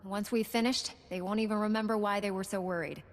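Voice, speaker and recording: A woman playing a calm, assured heroic knight in a video game, speaking formally. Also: mid-range voice, a little fast, lightly acted. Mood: calm, resolute. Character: calm, resolute heroic female Jedi knight.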